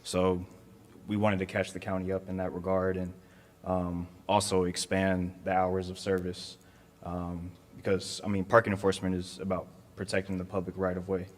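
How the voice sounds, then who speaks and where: A man with a deep, low voice answers questions at a community meeting in a school hall. He speaks in a measured way, often pausing on "um". The sound trails off in a long hall reverb. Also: completely flat delivery. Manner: measured, with frequent ums. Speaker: county staff member answering residents. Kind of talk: public meeting Q&A.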